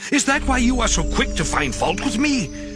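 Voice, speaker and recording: A man's voice: very high-pitched, very animated, moderate pace, over music. A man playing an anguished sufferer who pleads bitterly and despairingly with God in a dramatized audio scripture. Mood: anguished pleading. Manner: impassioned, despairing, lamenting.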